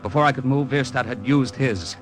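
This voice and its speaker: A man's voice: medium pitch, brisk, natural voice. A male actor playing a tough, cynical American hard-boiled hero and narrator in a 1940s radio drama. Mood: tense narrating. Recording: old radio recording with music behind.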